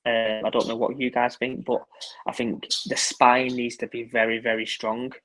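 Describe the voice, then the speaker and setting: A man's voice: mid-range voice, flat delivery, normal speed, fairly bright tone, low-quality recording. A man talking football as a guest, hesitant and often repeating words. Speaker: guest pundit. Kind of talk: casual podcast chat.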